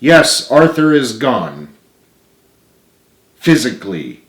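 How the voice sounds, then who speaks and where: A man acts out an earnest, loyal man from a storybook. He speaks with emphatic, pleading sincerity at a medium pitch in a room with clear echo. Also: big pitch swings, takes it slowly, a bit bright. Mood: consoling. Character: earnest, remorseful, encouraging man, loyal friend. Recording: roomy, echoing room sound.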